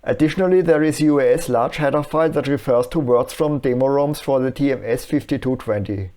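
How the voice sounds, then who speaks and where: A man presenting an electronics tutorial in scripted, explanatory narration with a measured, instructional manner. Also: mid-range voice, dark tone.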